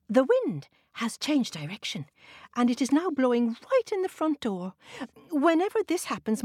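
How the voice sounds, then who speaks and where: A woman's voice: on the high side, darkish tone, no room sound, clean studio sound, pitched above the performer's own voice. A woman doing sly, sweet-talking Una. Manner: sugary, coaxing, falsely polite.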